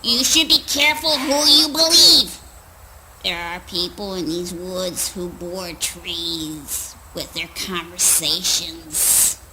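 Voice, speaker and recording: An actress playing a sly, scornful old crone in a spoken word play, with a taunting, theatrical manner. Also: female voice, on the low side, slow, noisy background.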